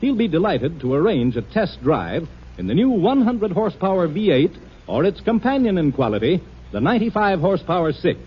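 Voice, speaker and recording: A man announcing a 1950s radio commercial with emphatic, polished salesmanship and a husky voice. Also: high voice, sing-song, brisk. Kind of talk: 1950s radio advertising read. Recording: muffled vintage radio recording.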